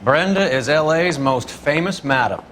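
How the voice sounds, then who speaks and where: A man's voice: on the high side, lively intonation, normal speed, lightly acted. A man voices a hard-bitten 1940s vice detective who has seen it all. He talks plainly and coarsely, over a noticeable bed of background noise. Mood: cynical. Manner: gruff, blunt, profane. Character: cynical veteran 1940s vice cop, crude and worldly.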